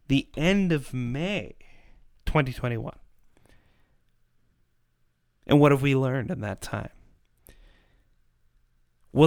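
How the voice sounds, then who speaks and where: Slow, emphatic man, clear voice. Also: mid-range voice, no room sound, studio quality. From Canada. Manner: emphatic, rhetorical, with dramatic pauses and repetition. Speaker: podcast host and pro-life activist. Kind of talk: persuasive monologue commentary.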